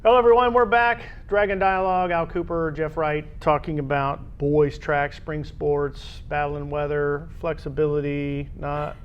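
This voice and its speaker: An American man with a fairly high voice hosts a local school sports program. He chats casually and steers between topics, and the recording is a little dull and lo-fi. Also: level tone, measured pace, hardly any pauses, clear, resonant tone. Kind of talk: casual sports chat, moderating.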